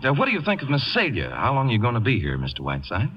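An actor playing a good-humored small-town newspaper reporter in a vintage radio comedy. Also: male voice, mid-range voice, wildly swooping pitch, racing. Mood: keen, wry. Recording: muffled vintage 1950 radio broadcast.

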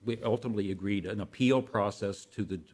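An older American man with a fairly low voice gives an explanation as an interview guest. He talks like a policy expert, halting now and then to correct himself as he works through his points. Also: darkish tone.

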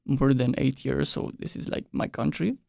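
Young guy from mexico, super gravelly, laid back, lots of "like", dry close mic. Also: mid-range voice, normal speed, dry recording. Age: young adult. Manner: relaxed, loose with frequent "like" and quiet trailing ends. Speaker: exchange student guest. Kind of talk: casual interview chat.

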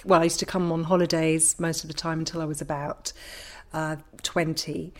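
A woman in her fifties with a London accent, a podcast guest telling anecdotes in a casual, conversational way. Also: on the low side. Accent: London English. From London, England. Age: 50s. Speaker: interview guest, craniosacral therapist.

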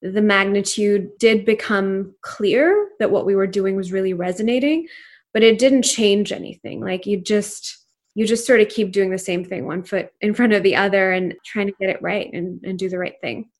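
A woman's voice: medium pitch, moderate pace. A woman in her thirties who grew up in Pakistan and speaks Urdu natively, talking as an entrepreneur guest in an interview in an earnest, reflective way with frequent fillers. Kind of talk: casual interview conversation.

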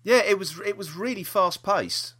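A man's voice: high-pitched, normal speed, pushed and bright, slightly rough. A man chatting casually as a podcast co-host, enthusiastic and repeating himself for emphasis. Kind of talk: casual review chat about audio dramas.

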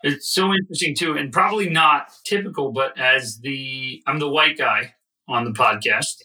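Podcast co-host, male, Midwestern, very bright tone, a bit off-mic, animated storyteller. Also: mid-range voice, normal speed, recorded from a distance, low-quality recording. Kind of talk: casual podcast chat.

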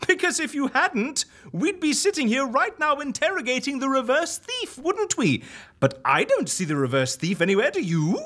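An excitable agency boss in a comedy audio drama, with a hugely animated voice that leaps and dives. The manner is theatrical and sarcastic, piling up repeated words for effect. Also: male voice, very high voice, moderate pace, exaggerated voice. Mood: exasperated, sarcastic.

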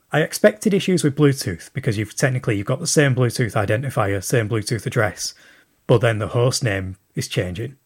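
A man with a husky, slightly breathy voice leaves a long recorded message for a show. He thinks aloud as he goes, circling back with plenty of ums. His voice sits at an ordinary middle pitch. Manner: rambling, thinking aloud with many fillers. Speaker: listener sending in a question.

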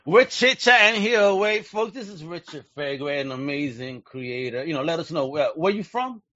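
A man's voice: fairly high pitch, animated, moderate pace, pushed and bright, muffled recording. A man hosting a casual video call chat, enthusiastic, laughing often and filling his talk with "you know". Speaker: host.

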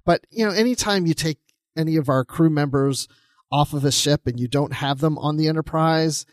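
Higher-voiced man chatting on a podcast. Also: normal speed, no room sound. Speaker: podcast co-host. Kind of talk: casual book discussion.

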